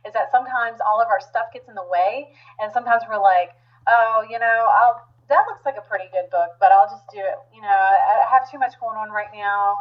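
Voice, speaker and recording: A woman's voice: medium pitch, fairly quick, few pauses. A woman hosting an interview chat, speaking in an encouraging, motivational way. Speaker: host and book organizer. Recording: dull lo-fi line.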